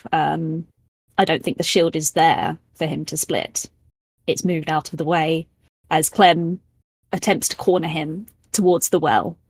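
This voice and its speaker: A woman with a deadpan manner, talking casually as a player in a tabletop game. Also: sits low, husky, no room sound.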